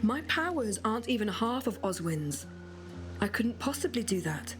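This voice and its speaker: A woman's voice: a little high, sing-song, normal speed. Earnest young witch, music behind. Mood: resolute. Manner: sincere, pleading, formal. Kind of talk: fantasy game voice acting. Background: game music.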